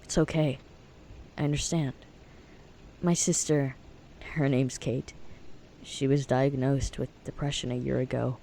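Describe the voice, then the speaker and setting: A man's voice: mid-range voice, flat delivery, unhurried, vocal fry, pauses often, mellow, a little hiss or hum. A man playing a friendly, earnest teenage boy in an audio drama. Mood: gentle, sincere.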